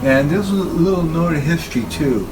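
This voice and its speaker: A man giving an informal, halting talk about equipment as a hobbyist presenter, speaking in short phrases. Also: on the high side, animated, music in the background, poor audio. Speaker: hobbyist presenter showing his tape machines.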